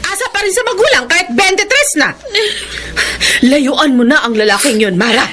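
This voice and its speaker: A woman's voice: high-pitched, animated, moderate pace, pushed and bright. An actress playing a strict, distrustful middle-aged mother who scolds emphatically in a Filipino radio drama. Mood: scolding, warning. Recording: faintly noisy radio drama broadcast.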